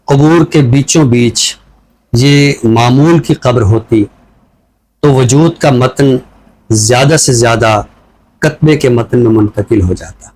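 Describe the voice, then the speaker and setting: A man with a Pakistani accent speaking in a measured, deliberate way, phrase by phrase, as in a literary talk or recital. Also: medium pitch, a little mellow, rough recording. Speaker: literary show presenter reciting a poem and introducing a book.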